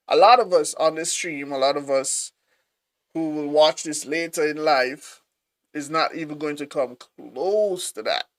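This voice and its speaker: A man hosting a live reaction stream, talking excitedly to his viewers and calling them bro. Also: high voice. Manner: excited, talks to viewers, says bro a lot.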